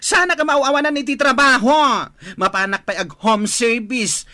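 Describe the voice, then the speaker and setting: Sharp, scolding Filipino woman, acted out. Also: a little high, normal speed. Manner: scolding, emphatic. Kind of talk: Filipino radio drama acting.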